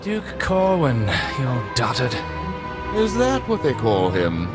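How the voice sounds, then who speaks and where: A man's voice: medium pitch, wildly swooping pitch, slow, loud music behind the voice. A man playing a haughty, easily scandalised aristocrat in a video game, pompous, disdainful and drawling. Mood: scornful. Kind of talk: video game cutscene acting.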